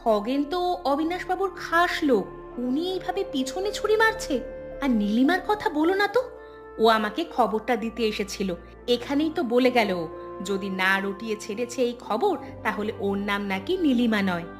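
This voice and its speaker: Woman acting a high-voiced, earnest village woman. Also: animated, normal speed, over music, natural voice. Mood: concerned, matter-of-fact. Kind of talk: Bengali cartoon voice acting.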